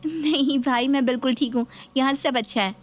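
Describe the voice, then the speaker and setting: A woman voicing a gentle, dutiful young daughter-in-law who placates her family in a dramatised story. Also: sits high, normal speed, voice pushed up, close to an everyday voice. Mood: placating. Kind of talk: dramatised Hindi story video.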